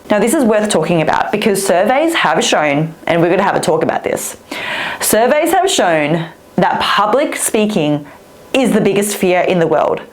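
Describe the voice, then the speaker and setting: A woman whose first language is Vietnamese, a speaking coach giving an upbeat, expressive talk straight to camera. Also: medium pitch.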